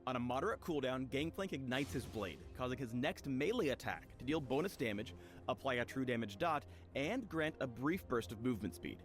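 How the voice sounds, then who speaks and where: A man's voice: mid-range voice, expressive, brisk. A man narrating a scripted video game explainer with wry jokes. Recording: faint music behind narration.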